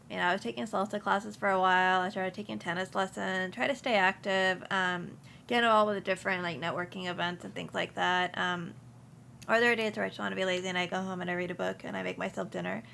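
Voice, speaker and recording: Young woman with a gravelly, bright voice. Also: mid-range voice, slight background noise. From Houston, US. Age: young adult. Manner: relaxed, many ums and restarts. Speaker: insurance agency principal, guest. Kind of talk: casual interview conversation.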